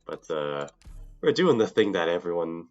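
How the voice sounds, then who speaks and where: A man's voice: sits low, big pitch swings, moderate pace, dull audio, faint music behind. A young man chatting casually on a livestream as he plays a game. He is a little sick and tired, his voice slightly nasal, and he rambles and restarts his sentences often. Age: young adult. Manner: rambling, self-interrupting, laughs often. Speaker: streamer. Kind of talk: casual livestream chat while gaming.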